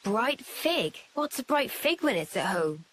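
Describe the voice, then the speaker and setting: A woman with a British accent playing a lively, curious young adventurer in a video game. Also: fairly high pitch, measured pace, heavy background noise. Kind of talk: video game cutscene acting.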